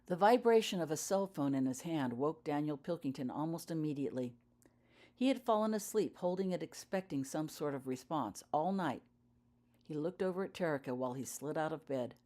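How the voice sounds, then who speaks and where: A woman's voice: low voice, expressive, brisk, dark tone. A woman reading her own fiction aloud as audiobook narration, telling the story in character-focused prose.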